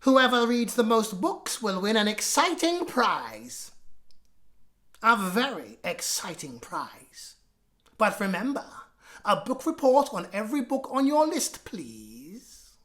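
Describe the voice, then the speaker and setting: Woman putting on a haughty, droning schoolteacher, pitched up. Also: sing-song, slow pace, fairly bright tone, exaggerated voice. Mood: bossy, announcing. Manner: haughty, scolding, emphatic. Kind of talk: children's storybook reading.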